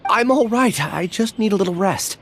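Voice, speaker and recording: An actor playing an anxious young student with amnesia, hesitant and apologetic. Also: male voice, high-pitched, animated, normal speed, husky, full character voice. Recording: faint game music behind.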